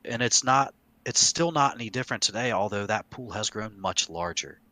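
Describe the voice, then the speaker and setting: Man, low bright voice, casual podcast host, emphatic explainer, close dry sound. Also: lively intonation, moderate pace.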